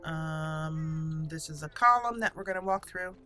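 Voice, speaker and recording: Female let's play host, chatty and informal, forward bright tone, thinks out loud while playing. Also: low voice, unhurried, soft background music. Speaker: gaming channel host. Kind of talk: casual let's play commentary.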